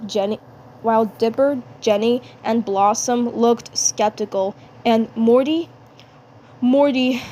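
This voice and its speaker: Amateur female story narrator, higher-pitched and slow, halting delivery, faint noise behind. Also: warm tone. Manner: halting, repeats words and phrases. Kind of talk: reading fan fiction narration aloud.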